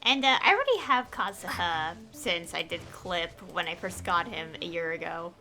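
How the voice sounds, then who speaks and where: A young woman doing casual livestream game commentary, excitable and rambling, often correcting herself. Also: lowish voice, normal speed. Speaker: game streamer. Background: faint game music.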